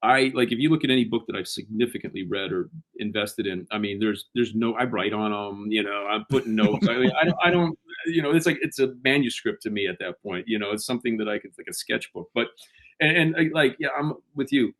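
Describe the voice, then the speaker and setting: A man's voice: fairly low pitch, a little fast, fairly bright tone, rough recording. A man, a guest author, talking in a casual interview with many false starts and repeated words.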